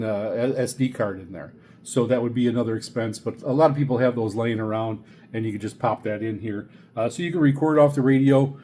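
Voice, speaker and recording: An older man giving a casual, unscripted product review, halting and rambling as he repeats words. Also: medium pitch, dark tone, slight background noise. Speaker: radio reviewer presenting a video series.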